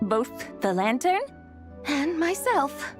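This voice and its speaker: A high, lilting young woman's voice, whimsical and grand. She takes her time over phrases with wide, theatrical swings, as a playful game character over music. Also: sing-song, slow, exaggerated voice. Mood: amused, musing. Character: whimsical, theatrical young woman posing as legendary horse adeptus. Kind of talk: video game character acting.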